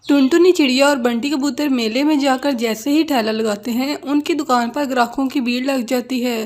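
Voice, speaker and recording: High-pitched woman narrating a cartoon story. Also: moderate pace, a little mellow.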